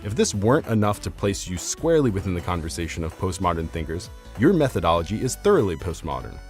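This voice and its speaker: A man's voice: low voice, sing-song, fast. A man narrating a scripted, argumentative video essay in an articulate, formal, academic way. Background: soft instrumental music.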